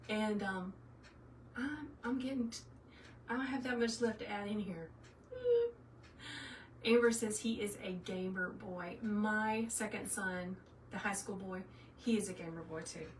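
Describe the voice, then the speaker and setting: Roomy, distant Southern US woman chatting live. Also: medium pitch. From South Carolina, US. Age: middle-aged. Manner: folksy, chatty, addresses viewers by name. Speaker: craft tutorial livestream host. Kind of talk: casual live chat with viewers. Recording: roomy, distant mic, faint noise.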